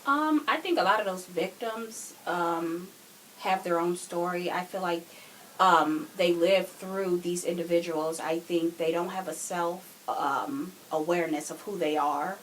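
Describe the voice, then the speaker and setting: A woman's voice: fairly low pitch, a little slow, a bit bright, low-quality recording. A woman giving her opinions casually as a podcast guest, on a hissy home recording.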